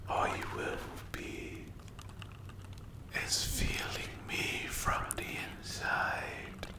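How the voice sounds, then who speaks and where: A breathy, whispered demon voice, put on by an ASMR performer, menacing and sly. It draws its words out extremely slowly, taunting the listener with soft questions. The whisper hangs in a roomy echo, like dark fantasy roleplay. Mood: creepy, insinuating. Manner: slow, drawn-out, taunting delivery with rhetorical questions. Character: menacing sleep paralysis demon, sinister and taunting.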